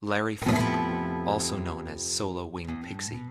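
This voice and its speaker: Casting: a matter-of-fact reporter narrating game dossiers, lowish voice, loud guitar music behind. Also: male voice, steady tone, unhurried. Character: sober male investigative reporter narrating pilot dossiers. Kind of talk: scripted documentary narration in a video game.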